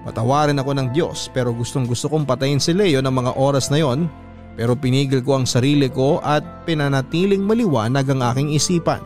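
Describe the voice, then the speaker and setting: A man's voice: mid-range voice, brisk, darkish tone. A man reading a listener's letter as scripted first-person narration for a radio drama. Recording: music under the voice.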